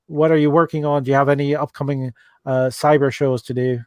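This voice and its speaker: A man's voice: medium pitch, level tone, brisk, a little mellow, no echo, clean studio sound. A man with an Irish accent hosting a live call-in chat show and reading out viewer questions, with many ums and restarts. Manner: hesitant, many fillers and restarts. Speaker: livestream host.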